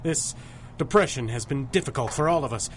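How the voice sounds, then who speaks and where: An actor playing a formal, obsequious bank manager in a 1930s-style radio drama, eager to please and fussy. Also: male voice, mid-range voice, animated, fairly quick, slight background noise, close to an everyday voice. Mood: deferential.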